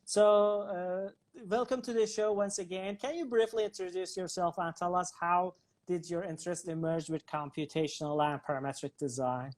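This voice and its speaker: Interview host speaking online. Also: male voice, sits high, fairly even intonation, moderate pace.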